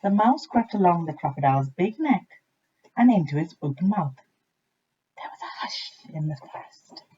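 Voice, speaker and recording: Female picture-book reader: animated, expressive storytelling with a breathy, rough edge, on a somewhat dull lo-fi recording. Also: on the low side, normal speed. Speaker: teacher reading a storybook aloud. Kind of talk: expressive children's story narration.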